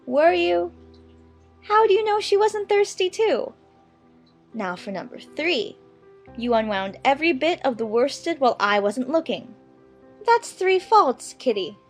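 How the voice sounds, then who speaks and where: A woman playing a lively, chattering little girl who mock-scolds her kitten in a dramatized children's storybook. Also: high-pitched, full character voice.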